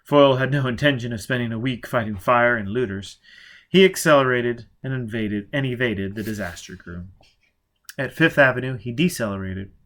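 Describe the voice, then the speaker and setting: Expressive male audiobook narrator. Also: mid-range voice, moderate pace. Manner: steady, expressive reading, with occasional slips and self-corrections. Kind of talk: amateur audiobook reading of a novel.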